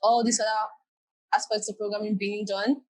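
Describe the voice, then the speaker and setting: A woman's voice: mid-range voice, flat delivery, normal speed, low-quality recording. A young woman, her Yoruba, chatting easily as an interview guest. She talks in loose, friendly runs, often leaning on "like" as she goes. Her voice sits at an ordinary middle height. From Nigeria. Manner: chatty, many "like" fillers. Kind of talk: casual interview talk.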